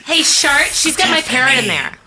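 A woman's voice: sits high, sing-song, moderate pace, noisy background. A woman playing a bird handler in an improvised comedy sketch, indignant and pleading.

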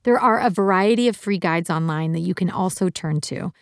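A woman from Uruguay, the host of a podcast, reading scripted narration in a clear, evenly paced delivery. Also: fairly low pitch, brisk, no echo, studio quality. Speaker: podcast host and executive producer.

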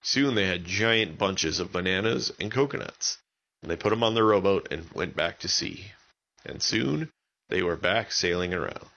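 Guy telling little kids a bedtime story, gravelly low voice, kinda muffled recording. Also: expressive, moderate pace, sharp tone. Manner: simple, repetitive wording for young children. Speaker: children's story podcast narrator.

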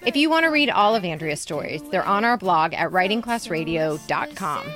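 A woman's voice: fairly low pitch, expressive, moderate pace, music in the background. A woman co-hosting a podcast, giving candid, emphatic and blunt critique in a conversational way. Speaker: podcast co-host and editor. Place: recording studio.

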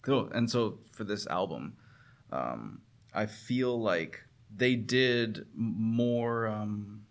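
A man's voice: fairly low pitch, expressive, takes it slowly. A man in a casual conversation about music, talking as a guest musician, earnest and enthusiastic, often rephrasing as he goes.

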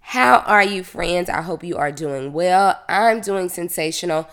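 Woman, 30s, slightly nasal from congestion, low-pitched, upbeat, roomy echo. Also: a little slow. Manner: upbeat, energetic, laughs often. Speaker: podcast host. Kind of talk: casual conversational interview.

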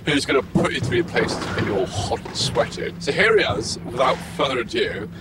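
A man's voice: medium pitch, lively intonation, brisk, natural voice. A man playing an insinuating, suspicious school master in a comedy sketch, with pointed hesitations. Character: insinuating male school-style master introducing the trainer. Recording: very loud background noise.

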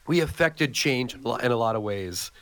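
A man with a hoarse voice from a cold, co-hosting a podcast in casual chat with many fillers. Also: medium pitch, lively intonation, fairly quick, fairly bright tone, no room sound. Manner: chatty, joking, many "like" fillers. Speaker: podcast co-host.

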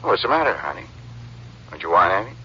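Low-voiced anxious husband. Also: male voice, very animated, brisk, bright, forceful tone, heavily acted. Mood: coaxing, falsely tender. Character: outwardly doting, anxious, scheming middle-aged husband. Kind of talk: 1950s radio crime drama acting. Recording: muffled, noisy old radio broadcast.

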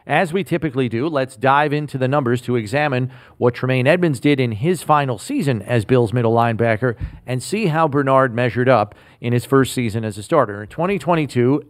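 A man hosting a sports podcast, delivering scripted lines in a measured, announcer-like way. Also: mid-range voice, no echo. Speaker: podcast host.

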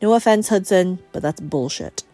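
A blunt, teasing woman from an audiobook story, put on by a woman narrator. She is bossy and emphatic, with a mellow, slightly husky voice and soft music behind. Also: on the low side, normal speed. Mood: stern. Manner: emphatic, frank.

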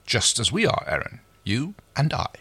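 A man reading aloud and voicing a scheming male ex-commander with earnest, theatrical persuasion, his voice pushed higher than his own. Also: wildly swooping pitch, moderate pace, sharp tone, breathy edge, no echo, exaggerated voice. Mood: persuasive.

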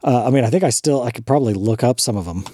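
A man with a mid-range voice explains things in casual podcast chat, laughing easily and swearing offhandedly. His voice sits at an ordinary pitch, recorded close and dry with no room echo. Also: very fast, mellow, breathy edge. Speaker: podcast co-host.

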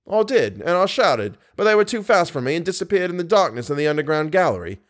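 A man's voice: a little high, sing-song, fast talker, voice pushed up. A man voicing an irritable, stubborn head riding master in a story, dry and insistent.

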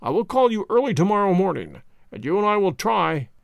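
A man voicing a methodical middle-aged male detective in an audiobook, laying out his reasoning in a measured, deliberate way. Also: high voice, very animated, vocal fry, dry recording, studio quality, exaggerated voice.

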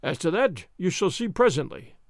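Man playing a calm commanding masked swordsman. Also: fairly high pitch, normal speed, no echo, studio quality. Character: cool, confident masked swordsman hero, young man. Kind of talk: audiobook character reading.

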